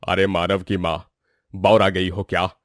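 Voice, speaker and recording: A man playing an expressive, exclamatory family man in an animated domestic moral story. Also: low voice, measured pace, dry recording, studio quality. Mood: exasperated.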